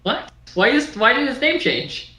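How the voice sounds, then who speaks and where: A man's voice: very high voice, very animated, a little slow, bright, forceful tone. A man in an echoey room, bantering casually and heckling a reader with incredulous questions. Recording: echoey room with faint noise.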